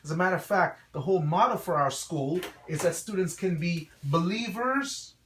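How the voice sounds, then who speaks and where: A man's voice: sits high, normal speed, a bit bright, distant mic, a little hiss or hum. A middle-aged man with a slight Guyanese accent gives an earnest, formal address with the emphatic delivery of a preacher. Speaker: school founder and reverend. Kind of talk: earnest promotional address to camera. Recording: echoey, reverberant room.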